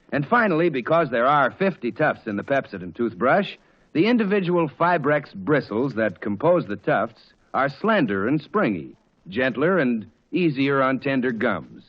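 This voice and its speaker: An American man announcing in the polished sales style of 1940s radio. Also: on the high side. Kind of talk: 1940s radio advertising and scripted narration. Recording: dull, muffled 1940s radio recording.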